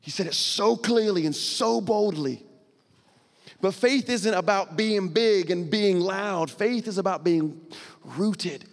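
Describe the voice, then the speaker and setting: A male pastor preaching a sermon on a church stage into a microphone, explaining in a conversational way with dramatic pauses. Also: sits high. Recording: long hall reverb.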